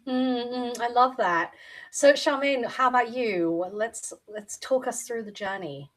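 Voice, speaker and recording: A woman's voice: a little high, unhurried, a bit bright, poor audio. A woman moderating a panel discussion in a casual, conversational style.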